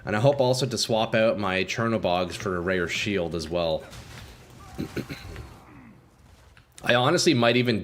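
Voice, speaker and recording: A lowish male voice streaming live gameplay, chatty and loose, dropping swears and calling his viewers "boys." He sounds a little stuffed up and keeps clearing his throat. Faint ambience hums under him. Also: a bit bright, slight background noise. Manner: casual, swears, talks to chat as "boys". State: congested, clearing throat often. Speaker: game streamer.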